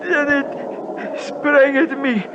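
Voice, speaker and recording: An actor in a 1950s radio drama playing a gravely injured, deferential Himalayan mountain guide, weak and in pain, speaking in halting, formal, simple English. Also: male voice, extremely high pitch, steady tone, exaggerated voice. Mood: shaken, pained. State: in pain, weakened, dying. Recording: noisy muffled 1950s radio broadcast recording.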